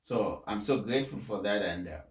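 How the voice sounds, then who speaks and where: A man speaking in an emphatic, deliberate way, like someone delivering a speech and commenting on it. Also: fairly low pitch, lively intonation. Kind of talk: formal read-aloud speech, then impassioned personal commentary. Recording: echoey, reverberant room sound.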